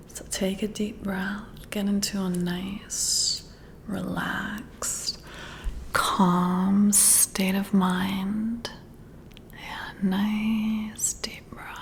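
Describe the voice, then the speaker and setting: A woman performs a whispered ASMR role-play, right up at the listener's ear. Her voice is a breathy, airy whisper, and she speaks extremely slowly. She guides the listener with gentle, soothing, deliberate instructions. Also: mid-range voice. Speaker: ASMR creator role-playing a boss giving a personality test. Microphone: close-up ear-to-ear microphone.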